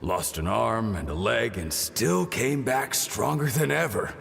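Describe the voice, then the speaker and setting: A man's voice: medium pitch, unhurried, creaky, a bit bright. An actor voicing an eager young male trainee full of admiration. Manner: enthusiastic. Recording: reverberant game audio.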